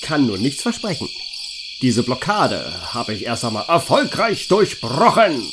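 A man's voice: medium pitch, big pitch swings, unhurried, background noise. A man playing an absent-minded, matter-of-fact storyteller on the run in a horror-comedy audio play. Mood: musing. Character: absent-minded male storyteller fleeing a monster.

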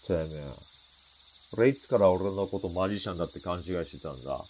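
An actor playing a boastful, short-tempered teenage delinquent in a Japanese radio drama, drawling in thuggish slang with a husky voice. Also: male voice, low voice, expressive, fast talker, darkish tone. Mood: threatening.

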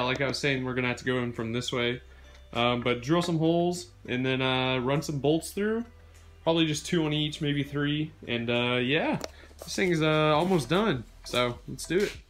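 A man's voice: a little high, moderate pace, fairly bright tone. Laid-back young man filming in his garage. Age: young adult. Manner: laid-back, many ums and uhs. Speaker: DIY vlogger. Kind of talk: casual build narration. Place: home garage workshop.

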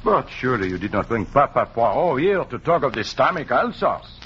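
Vain french-accented detective, old radio play, very animated, broken english, hissy dull sound. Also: male voice, mid-range voice. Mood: theatrical, teasing. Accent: French-speaking European. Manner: pompous, playful, halting broken English. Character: vain, theatrical middle-aged foreign male detective. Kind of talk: 1940s radio acting. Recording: muffled, noisy 1940s radio recording.

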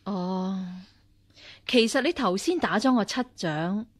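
An actress in a radio drama playing a cold, vengeful nun and martial-arts master, measured and aloof. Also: female voice, medium pitch, animated. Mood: cold, mocking. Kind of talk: Cantonese radio drama acting.

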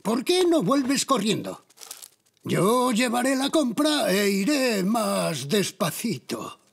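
An actor voicing a kindly, playful elderly zebra grandfather in a children's cartoon, patient, cheerful and creaky. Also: mid-range voice, very animated, slow, heavily acted. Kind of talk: animated children's cartoon dubbing.

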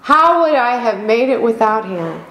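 A middle-aged woman pastor delivering a sermon in slow, emphatic preaching style, calling out for amens. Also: fairly high pitch, very animated, a bit bright. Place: church sanctuary. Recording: echoey room.

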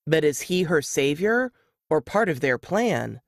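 A woman's voice: sits low, big pitch swings, a little slow, no echo, clean studio sound. A woman reading scripted narration in a dramatic thriller-trailer style. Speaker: book blurb narrator.

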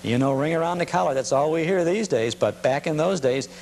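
A man hosting a nostalgia program, giving halting, conversational commentary and reminiscing about vintage commercials. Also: on the high side, expressive, gravelly, darkish tone, a little hiss or hum.

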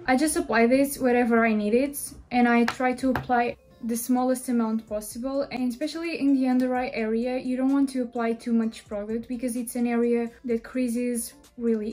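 Portuguese-accented woman in her twenties, fairly high but mellow voice, beauty vlogger talking to camera, faint music underneath. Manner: friendly, chatty, explaining step by step. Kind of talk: makeup tutorial talk to camera. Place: small bedroom indoors.

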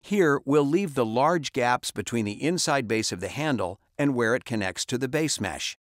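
A man reading a scripted step-by-step software tutorial as a voice-over, calm and instructional. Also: mid-range voice, normal speed, dry recording, clean studio sound.

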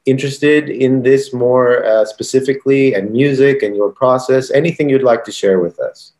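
A man's voice: medium pitch, normal speed, a little mellow. An American man hosting a podcast interview, asking a long, rambling question with many ums. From United States. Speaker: podcast host.

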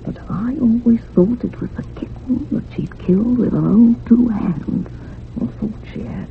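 An actress playing an older, sorrowful village housekeeper in a radio drama, confiding in rustic, old-fashioned turns of phrase. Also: female voice, a little high, measured pace, gravelly. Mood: troubled. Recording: muffled phone-like radio recording with hum.

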